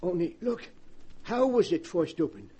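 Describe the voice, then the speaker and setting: An actor playing a frightened, reluctant helper in a 1940s radio drama, speaking hesitantly. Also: male voice, high-pitched, huge pitch swings, a little slow, mellow, slightly rough, heavily acted. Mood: uneasy. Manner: hesitant, uneasy.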